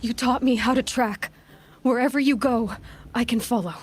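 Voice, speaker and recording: An actress playing a stubborn, determined young outcast hunter in a video game, speaking directly and earnestly. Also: female voice, fairly high pitch, moderate pace, slight background noise, lightly acted. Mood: pleading, defiant. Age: young adult. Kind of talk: naturalistic video game acting. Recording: music behind the voice.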